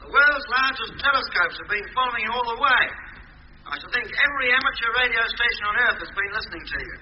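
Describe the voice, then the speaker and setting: An actor playing a calm, formal ground control operator, precise and official, heard over a radio link in a 1950s radio drama. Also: male voice, extremely high pitch, fast, dull audio. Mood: calm, friendly. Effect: distant radio transmission. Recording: thin, noisy, reverberant old radio recording.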